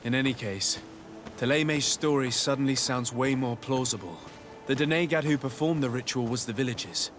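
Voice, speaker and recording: An actor plays a level-headed colonial envoy in a fantasy game. His voice is of average pitch, and he speaks politely in measured, formal phrases over quiet music. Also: close to an everyday voice. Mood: composed, inquiring. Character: level-headed, courteous young male colonial envoy and investigator.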